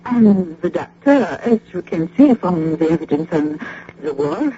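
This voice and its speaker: An actress playing a polite, devoted foreign nurse-receptionist in an old radio drama, formal and halting in manner. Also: female voice, fairly low pitch, animated, mellow, a little hiss or hum. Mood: reverent, courteous. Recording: muffled old radio recording.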